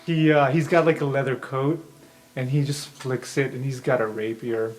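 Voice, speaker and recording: A man's voice: medium pitch, steady tone, normal speed. A man working as game master, narrating an improvised tabletop game in a casual, conversational way. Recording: background music under voice.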